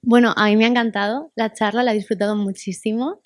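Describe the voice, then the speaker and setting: Woman moderator, fairly high, slightly distant. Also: steady tone, fast talker. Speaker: event moderator. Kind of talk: appreciative audience remarks at a talk.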